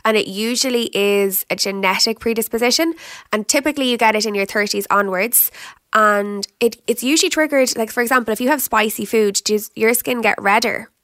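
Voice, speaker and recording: A woman's voice: a little high, a little fast, a bit bright, no echo, studio quality. An Irish woman hosting a podcast in casual, chatty talk, teasing her guest and laughing often. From Ireland. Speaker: podcast host, skin expert.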